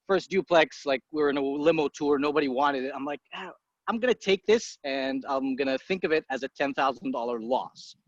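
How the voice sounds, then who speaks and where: A man in his thirties from Egypt, a podcast guest talking casually and bluntly, swearing freely and tossing off quick asides. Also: medium pitch, no echo. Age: 30s. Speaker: guest, entrepreneur. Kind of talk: casual interview talk. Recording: dry muffled podcast audio.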